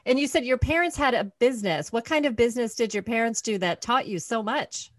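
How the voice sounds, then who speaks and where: A woman's voice: fairly high pitch, animated, a little fast, no room sound. Woman podcast host, dry close sound. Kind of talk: conversational interview hosting.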